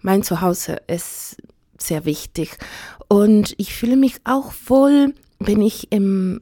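Chilean woman, middle-aged, non-native German, hesitant and thoughtful, mellow timbre, dry studio. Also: medium pitch, vocal fry, no echo. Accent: Chilean Spanish. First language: Spanish. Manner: thoughtful, hesitant, many fillers. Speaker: interviewee. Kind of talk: casual radio interview.

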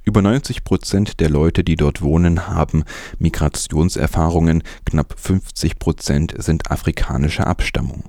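A man's voice: sits low, darkish tone, dry recording, clean studio sound. A man presenting scripted news as a narrator.

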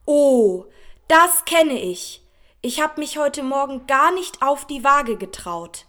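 A woman's voice: sits high, expressive, slow pace, fairly bright tone, heavily acted. A woman playing a matter-of-fact social media commenter in a radio drama collage. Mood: sympathetic.

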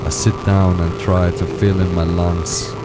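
A man reading a poetic personal text in a halting way, sometimes stumbling over words. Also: sits low, level tone, very noisy. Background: loud experimental music.

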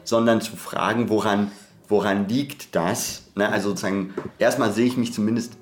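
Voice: medium pitch, steady tone, moderate pace, a little hiss or hum. A podcast co-host in a reflective, conversational discussion, speaking in a discursive academic way and often breaking off to rephrase. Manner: discursive, hesitant, self-interrupting academic talk.

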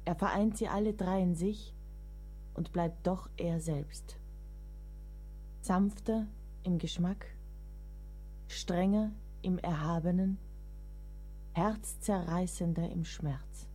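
A woman's voice: lowish voice, takes it slowly, long pauses, dark tone, soft background music. An actress in a radio play, playing a devoted but exasperated middle-aged woman writer with a motherly, wry, dramatic manner. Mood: tender, admiring.

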